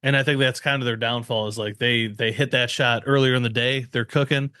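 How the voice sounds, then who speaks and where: A man's voice: mid-range voice, steady tone, a little fast, bright, forceful tone, smooth, dry recording, clean studio sound. A man co-hosting a podcast, talking sport in a casual, slangy and agreeable conversational way. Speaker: podcast co-host. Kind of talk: casual sports chat and analysis.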